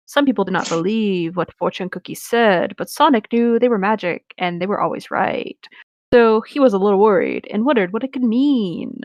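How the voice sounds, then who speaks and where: A woman's voice: medium pitch, moderate pace, darkish tone, dry recording, muffled recording. Woman reading comically, dry close sound. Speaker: podcast co-host reading a fan fiction aloud. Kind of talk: comic story narration and banter.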